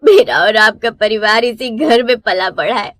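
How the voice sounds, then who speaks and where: A woman's voice: on the high side, expressive, measured pace, fairly bright tone, dry recording. A woman voicing a distressed, tearful older mother-in-law in a cartoon moral story. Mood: shocked, tearful.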